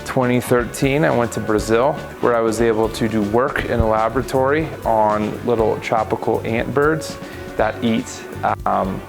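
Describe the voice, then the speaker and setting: A man's voice: lowish voice, vocal fry. A man in his thirties in a school hallway, casually recounting his experiences in an interview on a lapel mic. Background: background music.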